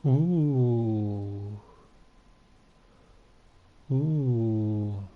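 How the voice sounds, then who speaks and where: A man's voice: on the low side, extremely slow. A man giving casual gameplay commentary as a streamer, chatty and often hesitant as he searches for words. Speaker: game streamer. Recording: dull lo-fi voice, game music behind.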